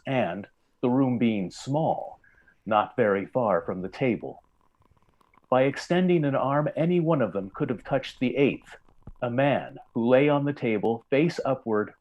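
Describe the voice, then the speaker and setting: A man narrating a scripted story in old-time radio style, with measured, deliberate delivery and dramatic pauses. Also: medium pitch, a little mellow, rough recording.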